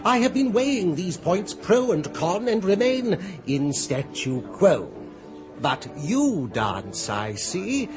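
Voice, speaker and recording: An actor playing an idle, deliberating gentleman in a radio drama, reasoning in a dry, measured way. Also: sits high, big pitch swings, over music, full character voice. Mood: languid. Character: languid, indolent gentleman weighing everything. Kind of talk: 1980s radio drama acting.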